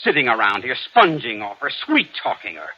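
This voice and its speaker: An actor playing a bitter, remorseful young foster son in a 1950s radio drama, husky and emotionally indignant. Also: male voice, medium pitch, huge pitch swings, fairly quick, noisy background. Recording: dull old radio recording.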